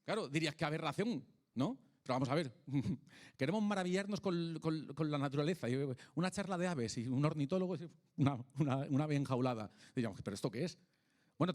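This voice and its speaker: A man with a Peninsular Spanish accent giving an informal public lecture in a conversational, halting way, often checking in with short tag questions. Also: a little high, darkish tone. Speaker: speaker, philosopher of science and author.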